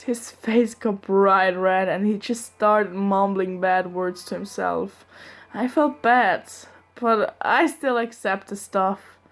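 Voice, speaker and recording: A young woman reading fanfiction aloud in the first person, sometimes giggling and breaking off to joke, with faint music behind her. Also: medium pitch. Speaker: fanfiction reader.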